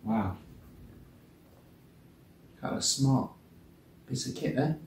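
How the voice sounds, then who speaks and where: A man's voice: mid-range voice, very slow. A man giving a chatty, informal product commentary as he unboxes and reviews gear. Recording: roomy indoor sound, faint noise.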